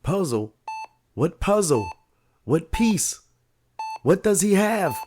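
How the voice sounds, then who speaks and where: A man's voice: high-pitched, big pitch swings, takes it slowly, heavily acted. An actor playing an eager young man who rescues his uncle, speaking urgently.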